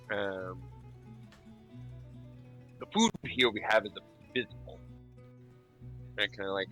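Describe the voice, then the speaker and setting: A man playing a tabletop role-playing game, casually and haltingly narrating what his character does as he improvises. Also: deep voice, sharp tone, low-quality recording. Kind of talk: improvised roleplay, describing his character's actions.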